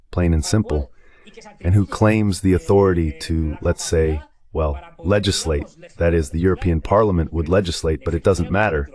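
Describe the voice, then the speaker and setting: A deep male voice delivers translated political commentary as a guest analyst. The phrasing is conversational and emphatic, staying low in pitch throughout. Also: a little mellow.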